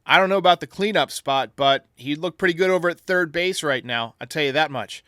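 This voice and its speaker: Bright, emphatic man hosting a sports show. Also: fairly high pitch, brisk, smooth, no room sound, studio quality. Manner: emphatic, argumentative. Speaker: podcast host answering fan voicemails. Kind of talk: casual opinionated sports talk.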